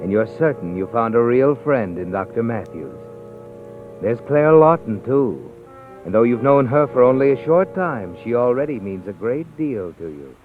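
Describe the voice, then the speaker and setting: Brief: male actor as brooding suspense narrator, vintage radio drama, animated pitch, thin phone-like sound over faint music. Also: moderate pace, close to an everyday voice. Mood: ominous. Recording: tinny old radio broadcast, faint music.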